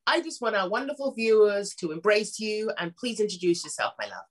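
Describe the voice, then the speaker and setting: A woman hosts an interview show. She talks with gushing, effusive enthusiasm and greets her guest with terms of endearment. Her voice sits at an ordinary middle pitch. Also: very animated, fairly quick, husky. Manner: effusive, gushing, calls the guest sweetheart and honey. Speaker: show host.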